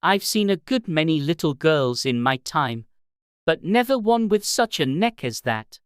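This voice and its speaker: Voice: very animated, a little slow, clean tone, no room sound, studio quality. A narrator putting on an indignant, fretful scolding pigeon. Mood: contemptuous scolding. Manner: scolding, shrill exclamations, contemptuous.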